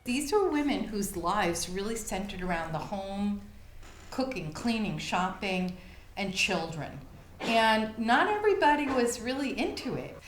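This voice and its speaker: A woman's voice: medium pitch, lively intonation, measured pace. A woman explaining things in an interview. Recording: echoey room, faint noise.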